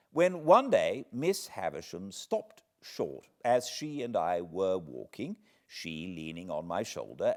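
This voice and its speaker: A British man reading a classic novel aloud as an audiobook narrator, with expressive, deliberate phrasing. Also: lowish voice. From Britain. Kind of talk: dramatic literary storytelling, read aloud.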